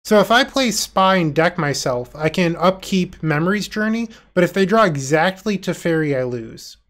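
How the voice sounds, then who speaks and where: A man talks through an online card game in a relaxed, casual way, with a congested, nasal voice from a recent illness. He thinks aloud and pokes fun at his own play. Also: high voice, normal speed. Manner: self-deprecating, thinking aloud. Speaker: gameplay commentator.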